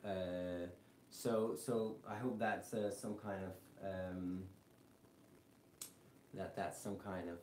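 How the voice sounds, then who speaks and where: A man with a mix of British and American accent, teaching guitar on a live stream and chatting with viewers in a relaxed, rambling way full of fillers. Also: sits low, slow pace, pauses often, a little hiss or hum. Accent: British English mixed with American. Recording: roomy echo, faint guitar behind.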